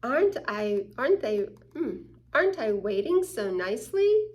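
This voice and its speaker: A woman's voice: fairly high pitch, very animated, normal speed, a little mellow, muffled recording. A woman hosting a children's story time, reading a picture book aloud and explaining it with questions to the young listeners.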